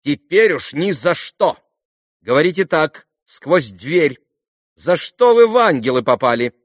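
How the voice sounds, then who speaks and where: A man voicing an imperious, excitable teenage girl with a thin little voice and demanding exclamations, in an audiobook novel. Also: fairly high pitch, measured pace, dry recording, clean studio sound, pitched above the performer's own voice. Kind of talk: audiobook classic novel dialogue.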